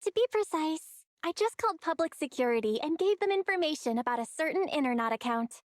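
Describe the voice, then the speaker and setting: Game heroine: plucky, clever young sister, high voice, close dry sound, measured confidence. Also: female voice, animated, no echo. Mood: coolly triumphant. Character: young sister, shrewd, composed, plucky underground operator. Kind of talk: English video game voice acting.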